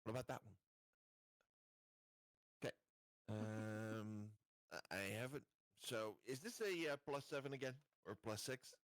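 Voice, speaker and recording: A man's voice: on the low side, unhurried, pauses often, no echo. A man chatting casually as a player in a tabletop roleplaying game.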